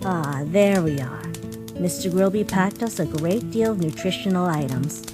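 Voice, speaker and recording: A woman voicing a gentle, motherly monster queen in a comic dub, speaking with formal, measured politeness. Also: fairly low pitch, lightly acted. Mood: warm, motherly. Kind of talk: comic dub character acting. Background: music.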